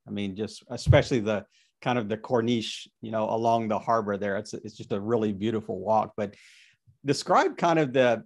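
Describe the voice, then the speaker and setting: A man hosting a podcast interview, rambling with repeated words and restarts. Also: on the low side. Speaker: podcast host.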